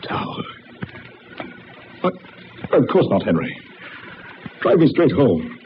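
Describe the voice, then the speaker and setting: An actor playing a genteel, uneasy, well-to-do gentleman in an old radio drama, with a dramatic delivery. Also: mid-range voice, big pitch swings, slow. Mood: uneasy, brusque. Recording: muffled, noisy old radio recording.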